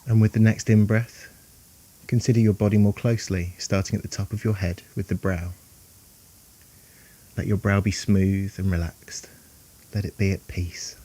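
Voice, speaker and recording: A man guiding a meditation in a calm, soothing, unhurried way, leaving long pauses between phrases. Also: low voice. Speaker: meditation guide. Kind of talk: guided meditation narration.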